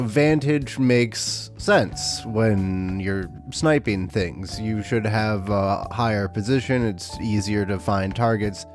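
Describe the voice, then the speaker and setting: Sarcastic man with a slightly rough voice. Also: mid-range voice, darkish tone. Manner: sarcastic, exasperated ranting with dry jokes. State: voice a little rough from recent illness. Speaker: tabletop wargaming YouTuber. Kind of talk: casual commentary to camera.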